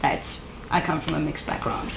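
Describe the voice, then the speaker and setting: A woman's voice: low voice, level tone, normal speed, creaky, background noise. A woman, a TV presenter answering interview questions frankly, with laughing asides. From South Africa.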